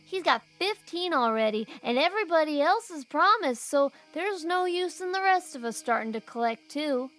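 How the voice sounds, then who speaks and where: A woman voicing an excitable, cheeky little boy who chatters eagerly and boasts. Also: sits high, moderate pace, hardly any pauses, soft background music, pitched above the performer's own voice. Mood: excited chatter.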